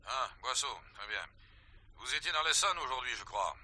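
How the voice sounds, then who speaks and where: An actor playing a curt, impatient police director in a French radio crime drama, giving orders in an authoritative, dismissive way. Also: male voice, medium pitch, animated, normal speed, sharp tone, no room sound. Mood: commanding.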